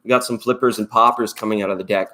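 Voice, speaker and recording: A man's voice: lowish voice, steady tone, quick pace, bright, forceful tone. A Canadian man hosting a live tarot stream, chatting in a rambling, upbeat way and thanking viewers by name. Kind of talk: casual live-stream chat.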